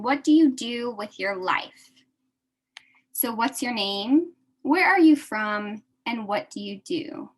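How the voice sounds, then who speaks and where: Slow, careful American woman teacher. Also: on the high side, fairly bright tone. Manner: slow, careful, repeats phrases for learners. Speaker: English teacher. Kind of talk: slow English lesson for adult learners.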